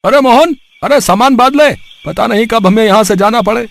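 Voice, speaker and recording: A man voicing a frantic neighbour in a cartoon story, calling out loudly and urgently. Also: extremely high pitch, fairly quick, gravelly, no echo, heavily acted. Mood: urgent warning. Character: frantic shouting male neighbour in a flooded slum. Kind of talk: Hindi cartoon story character voice.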